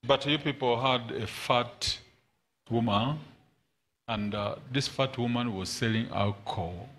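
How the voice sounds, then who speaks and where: A man's voice: mid-range voice, measured pace, a bit bright. A middle-aged man preaching and praying commandingly in short repeated phrases to a congregation in a large hall. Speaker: preacher leading prayer and prophecy. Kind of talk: deliverance prayer and prophecy to congregation. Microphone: handheld microphone. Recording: noisy hall PA with ambient sound.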